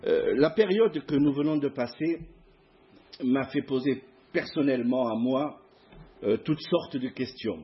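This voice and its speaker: A middle-aged man with a southern French accent, a guest preacher giving a sermon in church, hesitant with many pauses. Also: medium pitch, dull audio. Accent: southern French (Provence). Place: church hall before a masked congregation.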